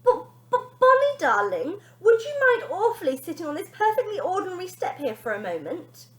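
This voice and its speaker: A woman's voice: extremely high pitch, huge pitch swings, normal speed, roomy sound, pitched above the performer's own voice, heavily acted. A woman voicing a meek, frightened mother in a storybook, stammering, pleading and flustered. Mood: timid.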